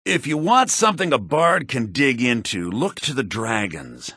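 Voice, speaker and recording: Raspy, smug townsman in a fantasy game. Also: mid-range voice, sing-song, moderate pace, bright, forceful tone, no echo, clean studio sound, exaggerated voice. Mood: grave, lofty. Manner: sardonic, self-important, theatrical delivery. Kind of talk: scripted fantasy video game dialogue.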